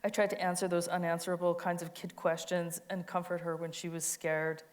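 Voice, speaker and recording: A woman's voice: fairly low pitch, flat delivery, brisk. A woman giving a dramatic reading of an autobiographical monologue, with a tender, emphatic storytelling delivery. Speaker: co-reader of a monologue.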